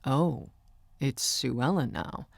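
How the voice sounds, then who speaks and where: A woman voicing a young male singer, an amused and tender boyfriend, speaking softly and matter-of-factly in an audiobook. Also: extremely low pitch, big pitch swings, slow, gravelly, no echo, studio quality, pitched below the performer's own voice, heavily acted. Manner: soft, matter-of-fact.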